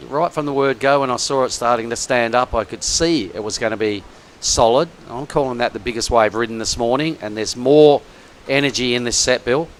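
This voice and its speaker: Man, surf commentator, live sports call, excitable, mid-pitched, faint hiss. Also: fairly quick. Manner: excitable, chatty colour commentary.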